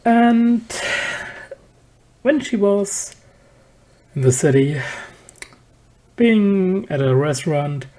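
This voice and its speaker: A reviewer talks casually through an anime episode at a slow pace. The voice is dark and mellow, and the delivery is halting, with slightly non-native phrasing. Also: mid-range voice, animated.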